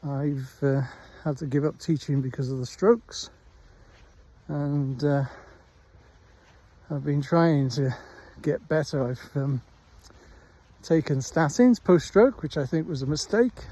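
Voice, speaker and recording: Middle-aged Welsh man, slightly high voice, mellow tone, rambling walk-and-talk, phone held in hand outdoors. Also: low-quality recording. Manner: rambling, unhurried chat with frequent pauses. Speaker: vlogger. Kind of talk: casual walking vlog. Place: outdoors on a countryside dog walk. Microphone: handheld phone.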